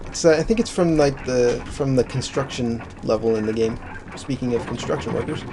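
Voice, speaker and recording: A man's voice: medium pitch, a little fast, noisy background. A man co-hosting a casual video game let's-play, with a somewhat nasal voice and a joking, rambling way of talking. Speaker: co-host of a gaming channel.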